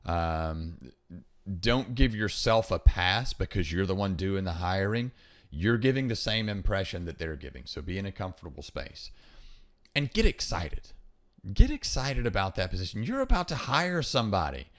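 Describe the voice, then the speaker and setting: A man's voice: low-pitched, very animated, normal speed. A man hosting a podcast, talking casually and instructively, emphatically repeating his key points. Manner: emphatic, repeats key points, acts out example phone calls. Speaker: podcast host giving hiring advice.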